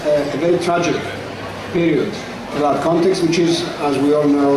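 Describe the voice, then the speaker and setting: A man with a Greek accent hosting a book talk, asking slow questions with many pauses and fillers. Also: a little high, steady tone, a crowd in the background. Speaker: host. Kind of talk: moderating a book presentation. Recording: echoey room from far off, noisy.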